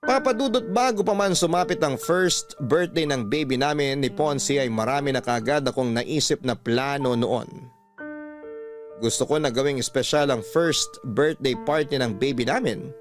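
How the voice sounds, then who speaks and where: A man's voice: mid-range voice, normal speed, soft background music. A man with a Filipino accent reading out a scripted first-person story as a radio drama narrator.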